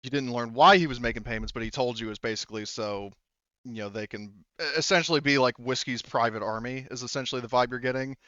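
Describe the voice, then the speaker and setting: Halting game master, clear-voiced man. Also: mid-range voice, bright, forceful tone, no echo, lo-fi sound. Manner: halting, hesitant, repeats words. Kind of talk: narrating and explaining a tabletop roleplaying game.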